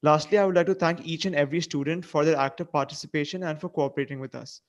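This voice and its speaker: A man's voice: on the high side, steady tone, fast, muffled recording. A young man, a student, delivering a formal, recited vote of thanks over a video call. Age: young adult.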